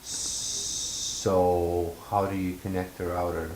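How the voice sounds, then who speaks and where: A man with a low, deep voice asks questions and reasons through a technical snag aloud in casual chat. He is recorded in an echoey room with a faint hiss of noise behind him. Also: flat delivery, takes it slowly. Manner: questioning, works problems out aloud. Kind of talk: casual chat about a tech setup.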